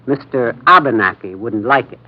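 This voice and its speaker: A man's voice: mid-range voice, animated, moderate pace, lightly acted. A man playing a fussy, politely menacing crook boss in a 1950s radio drama. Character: fussy, smooth-talking male villain, a crooked waiter boss. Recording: dull, noisy 1950s radio recording.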